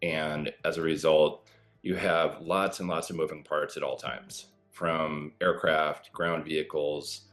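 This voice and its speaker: Deep-voiced middle-aged former pilot. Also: male voice, little pitch movement, fairly bright tone, soft background music. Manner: measured, explains with pauses and fillers. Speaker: former pilot commenting on aviation safety. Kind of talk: explanatory news interview commentary.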